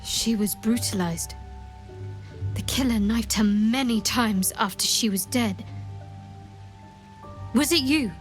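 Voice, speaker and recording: An actress playing a cool, appraising female adventurer in a fantasy video game, measured and matter-of-fact, with music behind her. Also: on the high side. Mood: grave, probing.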